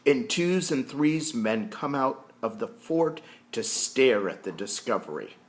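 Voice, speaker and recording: A man reading a historical novel aloud as a storyteller, deliberate and explanatory. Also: mid-range voice, animated. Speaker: reader reading a book chapter aloud.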